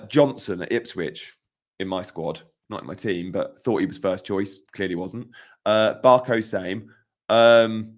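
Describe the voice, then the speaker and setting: Streamer, young man, croaky morning voice, casual and emphatic, mid-pitched. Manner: chatty, emphatic, repeats points. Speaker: fantasy football streamer. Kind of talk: live-stream chat reading comments.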